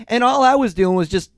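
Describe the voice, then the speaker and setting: A man co-hosting a podcast in a studio, telling a story in a lively way and laughing as he goes, a little sick and congested. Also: very high-pitched, dry recording. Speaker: co-host. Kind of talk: casual storytelling chat. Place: recording studio. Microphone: studio mic.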